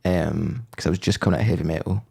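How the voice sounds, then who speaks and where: A man in his thirties with a low voice, co-hosting a casual, comedic chat about music. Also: quick pace, creaky, warm tone, husky. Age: 30s. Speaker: podcast co-host.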